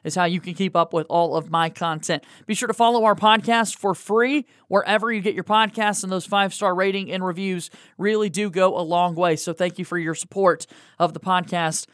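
A man's voice: sits high, fairly even intonation, brisk, a bit bright, clear, resonant tone, no room sound, clean studio sound. A man from North Carolina with an American accent, hosting a sports interview podcast. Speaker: podcast host.

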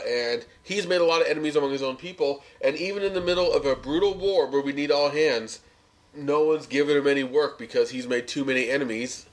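A man chatting casually as a podcast co-host, recapping a television episode. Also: mid-range voice.

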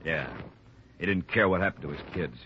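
An actor playing a hot-tempered, bitter rancher's son in a 1950s radio drama, gruff and defensive. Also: male voice, low voice, a little fast, close to an everyday voice. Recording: muffled old radio recording, faint noise.

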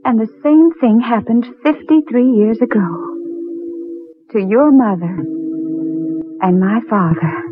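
A woman's voice: a little high, slow pace, pauses often, background noise. An actress playing an earnest, wondering woman in a dramatic 1940s radio play. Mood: hushed realization. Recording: tinny 1940s radio recording, music behind.

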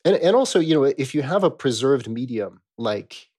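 A man speaking as an academic expert in a conversational scholarly interview, explaining in an animated way with rhetorical questions. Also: medium pitch, normal speed, husky.

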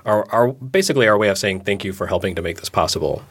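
A man hosting a casual podcast, talking in a sleepy-sounding voice with halting, self-deprecating asides. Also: fairly low pitch, lively intonation. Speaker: podcast host and founder.

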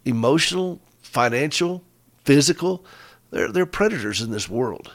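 A middle-aged man with a slightly hoarse voice, hosting a caregiver radio show in a folksy, emphatic storytelling way. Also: medium pitch, expressive, moderate pace. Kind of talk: conversational radio talk. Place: rural home in the mountains.

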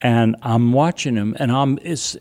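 A middle-aged man with a loud voice, telling a personal story as an interview guest, animated and vivid, acting out what people said. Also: medium pitch, unhurried. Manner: vivid, animated retelling with acted-out quotes.

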